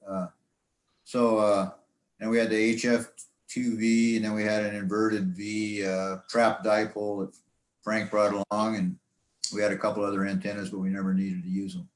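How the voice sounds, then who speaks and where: Man, low voice, folksy and halting delivery, informal presentation, roomy echo. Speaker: presenter, amateur radio hobbyist. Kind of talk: informal club slide talk. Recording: echoey room sound.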